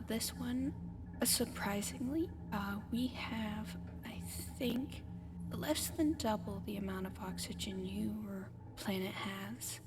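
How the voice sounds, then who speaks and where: A woman voicing a gentle female alien who haltingly searches for human words in an ASMR roleplay addressed to the listener. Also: medium pitch, lightly acted. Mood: explaining, hesitant. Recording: clearly noisy background ambience.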